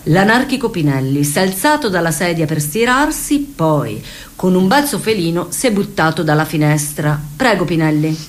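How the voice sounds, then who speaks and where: A woman's voice: fairly low pitch, animated, moderate pace. A woman narrating satirical radio theatre with a dramatic, mock-official delivery. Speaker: actress and narrator.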